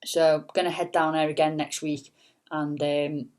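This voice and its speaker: A woman with a notably low, soft voice talks in a loose, meandering way. She fills her sentences with "um" and "you know" and drops in a casual swear word now and then. It sounds like an unscripted late-night vlog. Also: level tone, unhurried. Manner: chatty, full of fillers and casual swearing. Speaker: singer and vlogger. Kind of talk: rambling late-night chat.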